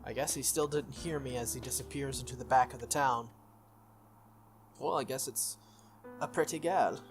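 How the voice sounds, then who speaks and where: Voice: medium pitch, a bit bright. A game playthrough host reading visual novel text aloud, sometimes stumbling and rereading, with casual asides.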